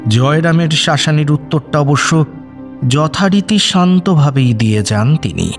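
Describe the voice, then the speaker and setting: A man's voice: a little high, animated, a little slow, soft background music. A man reading dramatic scripted narration as the storyteller of an audio drama.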